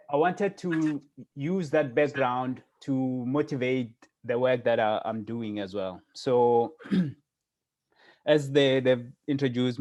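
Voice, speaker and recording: A middle-aged man from South Africa lecturing over a video call at an average pitch. He talks hesitantly, filling pauses with "uh" and restarting his sentences as he explains the material. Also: a little mellow. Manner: hesitant, many fillers and restarts. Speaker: guest lecturer. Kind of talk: academic seminar talk over video call.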